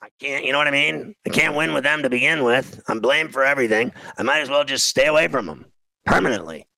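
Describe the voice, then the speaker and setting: Gravelly man in his fifties, griping casually. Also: medium pitch, fast talker, pushed and bright, dry recording, poor audio. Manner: self-deprecating, exasperated griping, slangy. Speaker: talk show host. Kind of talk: casual rambling comic rant.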